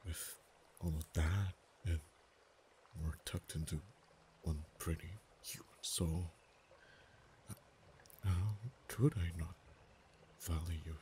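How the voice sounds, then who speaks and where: A man voicing a proud, haughty male dragon in an ASMR romance roleplay, speaking softly with whispered and stammering stretches. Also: low-pitched, fairly even intonation, slight background noise. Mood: tender. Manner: soft-spoken, partly whispered, halting stammers.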